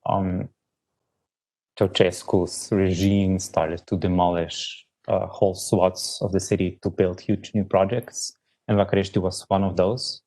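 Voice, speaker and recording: A man in his thirties from Slovenia, answering interview questions in a halting, reflective way, with many pauses and restarts. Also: low voice, flat delivery, dark tone. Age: 30s. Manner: halting, thinks aloud with many ums and restarts. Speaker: poet guest.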